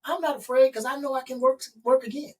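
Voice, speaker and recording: A man's voice: very high-pitched, steady tone, fast talker, far from the mic. Emphatic middle-aged man, set back from the mic. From Chicago, USA. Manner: emphatic, motivational, speaks in stacked lists. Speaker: guest, consultant and author. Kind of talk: interview conversation.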